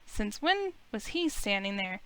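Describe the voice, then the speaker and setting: A woman playing a flustered, sceptical young heroine, narrating a visual novel in the first person with expressive dialogue. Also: medium pitch, a little slow, smooth. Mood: bewildered. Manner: incredulous, expressive. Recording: music behind the voice.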